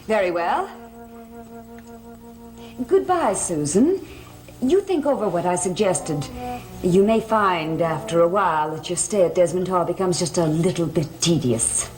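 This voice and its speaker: An actress playing a middle-aged, sociable and meddling society matron in a gothic television soap, gushing and coaxing. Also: female voice, on the low side, expressive, a little slow, long pauses, a little mellow, poor audio. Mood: prim, sociable. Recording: old TV soundtrack with faint music.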